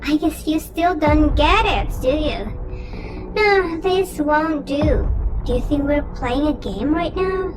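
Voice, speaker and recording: A woman playing a small, mocking male goblin creature who toys with people in a relaxed, sinister drawl in an audio drama. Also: high-pitched, slow pace, background noise. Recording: slightly distant, loud music behind.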